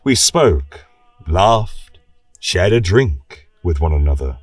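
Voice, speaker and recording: A husky, learned chronicler, acted by a man delivers a formal lecture on his fantasy kingdom. His delivery is deliberate, proud and wistful, with wide swings of pitch. The voice sounds distant and roomy, with music behind it. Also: sing-song, heavily acted. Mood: proud, measured. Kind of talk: scripted fantasy lecture narration.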